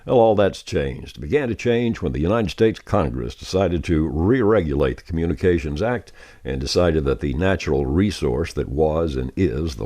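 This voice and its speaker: A man's voice: rumbling low, warm tone. An older man with a folksy, emphatic manner, giving conversational commentary as a radio host. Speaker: radio veteran, podcast host.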